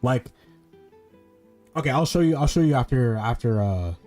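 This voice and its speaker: Gamer guy chatting over gameplay, relaxed warm voice, sweary, thinks out loud, bit of music. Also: mid-range voice, moderate pace. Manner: rambling, thinking aloud, sweary. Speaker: streamer playing a video game. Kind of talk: casual gameplay commentary.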